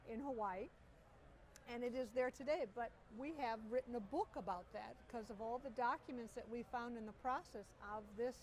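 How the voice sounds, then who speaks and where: A middle-aged woman with a voice pitched a little high tells stories with lively enthusiasm. She is interviewed outdoors at an air show, with faint background noise around her. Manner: animated, enthusiastic storyteller. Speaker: interview guest. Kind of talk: storytelling interview.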